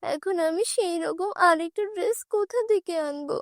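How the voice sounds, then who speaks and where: A woman's voice: very high voice, moderate pace, no echo, clean studio sound. A woman voicing a meek, mistreated young stepdaughter in a cartoon, timid and earnest. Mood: worried.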